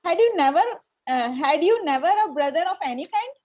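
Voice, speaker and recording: Woman playing a high-voiced haughty young Victorian lady. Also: animated, fairly quick, a little mellow, lo-fi sound. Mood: formal, wounded. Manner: formal, imperious, precise. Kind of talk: amateur table read of a stage comedy.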